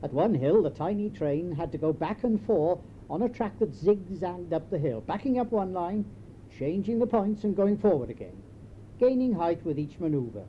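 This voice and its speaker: Older man, British accent, highish lively voice, wry memoir narration, muffled home tape with faint noise. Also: moderate pace. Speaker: memoirist reading own writing.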